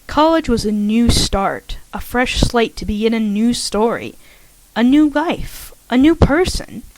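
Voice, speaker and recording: A woman's voice: on the high side, animated, a little slow, low-quality recording. A woman carefully reading a story aloud, slightly shaky in her delivery. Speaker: fanfiction audiobook reader.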